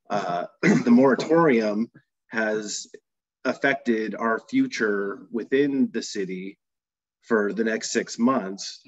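A man's voice: on the low side, no room sound. A man who runs short-term rentals, making a plain public comment full of fillers on a call. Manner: plain, filler-heavy, clears throat. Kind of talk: public comment, spoken loosely. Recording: dry, dull call audio.

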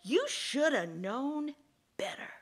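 A woman voicing a sly, treacherous male snake in an animated fable, wheedling and taunting in a buddy-buddy way. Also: sits high, slow pace, full character voice. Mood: gloating.

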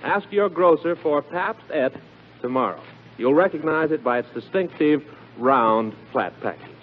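A man's voice: on the high side, normal speed. A man announcing on 1940s radio with a polished, emphatic sales delivery. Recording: narrow-band noisy 1940s radio recording.

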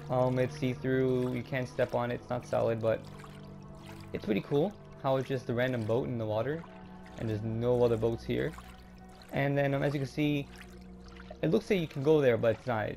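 A young man narrating a gameplay walkthrough casually, hesitating a lot with ums and restarts, his throat a little raspy. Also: medium pitch, steady tone, warm tone, faint music behind. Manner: halting, many ums and restarts. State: slightly raspy throat, clearing it. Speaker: gaming YouTuber.

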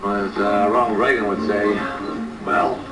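A man's voice: fairly low pitch, fairly even intonation, normal speed, recorded from a distance, natural voice. A man playing a naive, mild-mannered cop in a film comedy, hesitant and stammering, on echoey playback. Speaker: actor playing a police patrolman. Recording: echoey TV playback from afar, music behind.

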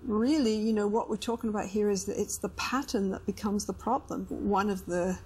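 Husky middle-aged woman counselling. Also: medium pitch, steady tone, a little fast, darkish tone. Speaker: couples therapist and host. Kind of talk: explanatory counselling talk. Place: indoor studio set with sofas.

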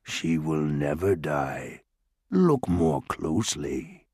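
A haughty dwarf lord, as a narrator puts him on, rumbling at the very bottom of his range. He drags every word out slowly and menacingly, savoring his power. The storybook voice is recorded dry and close. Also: very animated, warm tone, breathy edge, no echo, full character voice. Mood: sneering. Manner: slow, gloating and menacing. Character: haughty, scheming dwarf lord, male, adult. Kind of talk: audiobook character voice.

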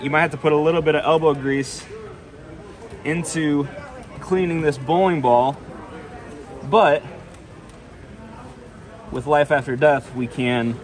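A young man giving a casual hands-on product demonstration, explaining plainly step by step. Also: medium pitch. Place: large carpeted indoor venue. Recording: busy public room with background chatter. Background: crowd murmur.

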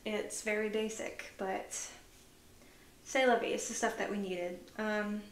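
A woman's voice: medium pitch, a little slow, a little hiss or hum. Chatty woman in an echoey room. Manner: relaxed, chatty, lots of fillers. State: tired. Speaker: vlogger. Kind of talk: casual grocery haul chat.

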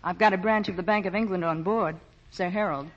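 A woman's voice: on the low side, brisk, close to an everyday voice. Anxious worldly woman played by an actress, muffled. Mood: devoted. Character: anxious, devoted worldly female con artist. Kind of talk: 1940s radio drama acting. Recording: muffled, faintly noisy old radio broadcast.

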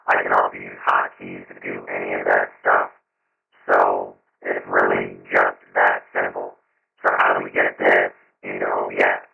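A man demonstrating a monstrous synthetic character through a pitch-lowering voice changer, gravelly and husky. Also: monotone, normal speed, highs cut off, soft background music, exaggerated voice. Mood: matter-of-fact.

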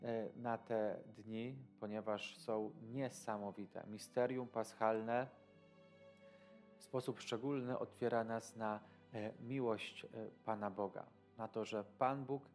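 A man in his thirties speaking as a priest in devotional commentary, deliberate and halting. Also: fairly low pitch, steady tone, darkish tone, faint music behind. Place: indoor studio.

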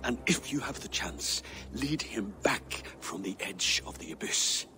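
An actor playing a weary older Viking king and regretful father, grave and measured, in a dramatic video game cutscene. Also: on the low side, sing-song, pushed and bright, slight background noise, exaggerated voice. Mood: regretful, pleading.

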